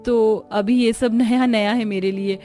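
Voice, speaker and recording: A gentle young village bride from a Hindi-style cartoon family drama, played by a woman narrator. Her voice is high, earnest and wide-eyed, with deference in every phrase. Music plays behind her. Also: fairly even intonation, measured pace, natural voice. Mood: unsure.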